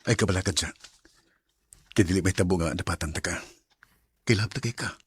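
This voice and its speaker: A man's voice: low-pitched, brisk, breathy edge, dry recording. An actor with a Visayan Filipino accent playing a married woman's suitor in a radio drama. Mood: attentive.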